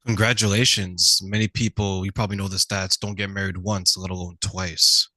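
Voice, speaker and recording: A middle-aged man hosting a conversational interview, telling stories in an animated way and often checking in with the listener. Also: low voice, normal speed, no echo, rough recording. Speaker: talk show host.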